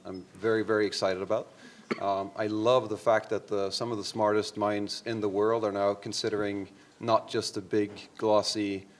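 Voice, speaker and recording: A man from Norway speaks to a conference audience, introducing his company in a somewhat low voice. His talk is upbeat but hesitant, with many fillers between phrases. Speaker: startup CEO panelist. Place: conference room.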